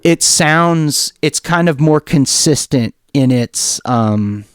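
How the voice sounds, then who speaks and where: A man giving a casual software tutorial, thinking aloud in an informal way as he demonstrates. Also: a little high, lively intonation, slow, smooth. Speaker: music producer demonstrating software.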